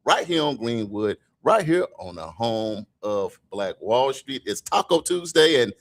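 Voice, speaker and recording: A man's voice: medium pitch, sing-song, unhurried. A man hosting a morning radio show in a studio, talking in an upbeat, easygoing way. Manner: upbeat, easygoing, laughs between lines. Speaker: radio host. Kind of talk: casual morning radio chat.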